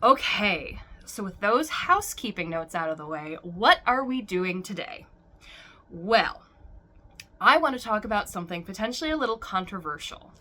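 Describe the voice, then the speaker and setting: Bright-voiced woman, hoarse and sniffly. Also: mid-range voice. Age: adult. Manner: rambling, chatty, with restarts. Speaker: doll collector vlogger. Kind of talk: casual chat to camera. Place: warm room at home with a ceiling fan.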